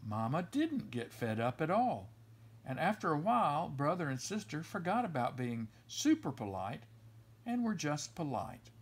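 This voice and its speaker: Expressive male storybook reader, lively pitch. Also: a little slow, slightly rough. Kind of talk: expressive children's story read-aloud.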